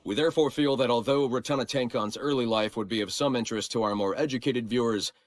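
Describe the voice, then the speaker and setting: A smug corporate researcher narrates a formal report in a fairly low voice. He is measured, prim and quietly disapproving, in video game style. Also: no echo, studio quality. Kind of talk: scripted narration.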